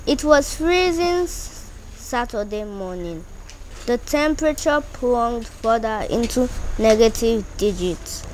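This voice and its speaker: Woman reading a story, mid pitch, slow and halting, bit of background noise. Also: poor audio. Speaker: reader. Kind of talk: reading a first-person story aloud.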